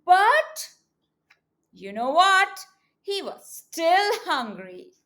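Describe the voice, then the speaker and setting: A woman reading a picture book aloud to young children as a teacher, expressive and coaxing, with questions to the listeners and deliberate emphasis on words. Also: extremely high pitch.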